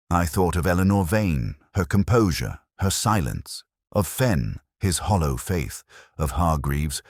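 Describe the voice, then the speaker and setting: A man performs a melancholy, world-weary detective narrating his own case in a radio mystery. The voice is extremely low, with plenty of rise and fall across solemn, measured sentences. It is recorded dry and close, with a reflective, literary air. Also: no echo, full character voice. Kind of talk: scripted first-person narration of a brooding detective drama.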